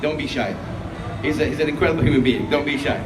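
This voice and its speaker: A man's voice: fairly high pitch, fast talker, background noise. A man hosting a live event, energetically urging the crowd on and inviting questions. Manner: energetic, encouraging. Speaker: event host. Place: large event hall. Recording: echoey hall from far off with crowd.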